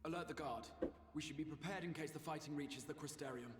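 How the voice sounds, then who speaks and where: A man voicing a solemn lord who commands a city's forces, speaking in a measured, formal way. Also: fairly high pitch, lightly acted. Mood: commanding. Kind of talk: video game voice acting. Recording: reverberant game audio with music.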